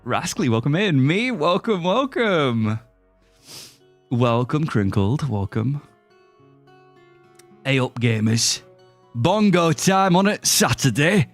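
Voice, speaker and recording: A playful young man livestreaming a game, greeting chat with a goofy manner and a slightly stuffy nose. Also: fairly high pitch. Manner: goofy, playful, silly mispronunciations and shifting voices. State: slightly sniffly, stuffy-nosed. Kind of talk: casual stream chat, greeting chat and playing a game.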